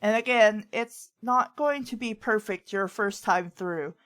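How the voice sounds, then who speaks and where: A woman's voice: mid-range voice, unhurried, a bit bright. A woman hosting a casual chat and giving advice in a conversational, rambling way, often acting out what others say. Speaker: host of a writing advice talk.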